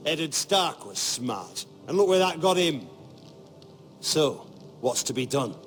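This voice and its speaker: Proud, vengeful knight, fantasy game: indignant, forceful, very animated delivery over faint music. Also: male voice, on the high side, slow, full character voice. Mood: scornful. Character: hot-headed proud knight, hungry for vengeance. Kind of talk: dramatic fantasy game voice acting.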